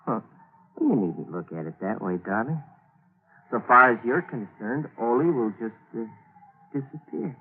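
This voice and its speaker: A man's voice: mid-range voice, expressive, unhurried, slightly rough. An actor playing a scheming, persuasive young chemist's assistant, brooding through his story in 1940s radio drama style. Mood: urgent, persuasive. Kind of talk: 1940s radio acting with inner narration. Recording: muffled, noisy 1940s radio recording.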